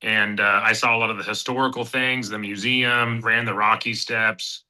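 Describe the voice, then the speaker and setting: A man's voice: on the low side, flat delivery, normal speed, sharp tone, low-quality recording. A man in his thirties from Kansas City, a fighter chatting casually as an interview guest, rambling with fillers and restarts. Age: 30s. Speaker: guest, bare-knuckle fighter.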